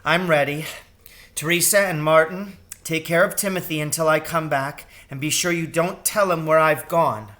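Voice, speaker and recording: A man's voice: on the high side, normal speed, fairly bright tone, voice pushed up, close to an everyday voice. A man voicing a timid, polite mother mouse in a storybook who is trying to sound brave, nervous and restrained. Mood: bravely resolute.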